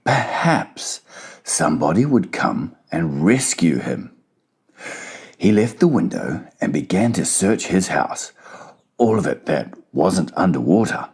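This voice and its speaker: A man narrates a children's story in a low, gravelly voice. His pitch moves in lively swings through the dramatized audiobook reading.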